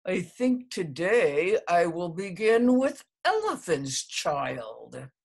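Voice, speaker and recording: A woman voicing a sly, menacing crocodile in a storybook, with a drawn-out, coaxing delivery. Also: on the low side, very animated, gravelly, a little mellow, breathy edge, heavily acted.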